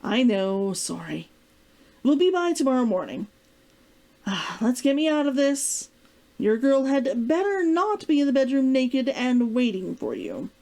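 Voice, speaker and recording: A woman's voice: high voice, big pitch swings, unhurried, darkish tone, voice pushed up, exaggerated voice. A woman reading aloud as a proud, stubborn woman fighter in a storybook, defensive and curt. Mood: annoyed. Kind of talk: storybook character dialogue read aloud.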